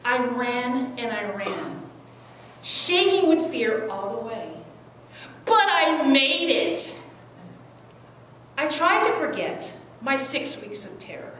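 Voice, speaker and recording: Young woman acting an anguished high-voiced migrant mother, echoey. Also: very animated, a bit bright, heavily acted. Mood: traumatized, urgent. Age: young adult. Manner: earnest, emotive, deliberate phrasing with dramatic pauses. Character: anguished, devoted Salvadoran migrant mother of six, resolute. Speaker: student performer. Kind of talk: dramatic stage monologue reading. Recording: very echoey room, distant mic, faint noise.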